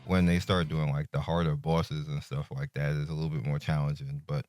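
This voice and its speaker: A man hosting a gaming video, giving casual review commentary in a laid-back, colloquial, halting way. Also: very deep voice, level tone, darkish tone. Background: music.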